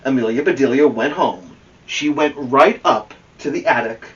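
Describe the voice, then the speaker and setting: A man hosting a children's story podcast, reading a picture book aloud in an expressive, animated way. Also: medium pitch, normal speed, lo-fi sound. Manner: expressive, addresses a young co-host with questions. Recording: slightly distant from the microphone.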